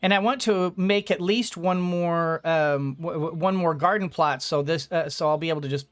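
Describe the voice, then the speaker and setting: A man giving casual let's play commentary, rambling and thinking out loud as he goes. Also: on the high side, moderate pace, dry recording, clean studio sound. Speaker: gaming video host.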